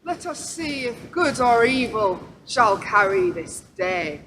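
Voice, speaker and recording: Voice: big pitch swings, takes it slowly. A performer playing a defiant witch who rules the land in a radio play, declaiming archaic threats. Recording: noisy, rumbling background.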